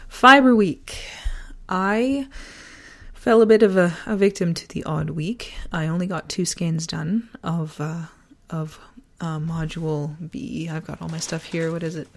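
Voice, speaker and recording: Low-pitched woman losing her voice. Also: steady tone, measured pace, creaky. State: losing voice from a sore throat virus. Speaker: podcast host. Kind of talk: personal podcast, reflective essay and casual chat.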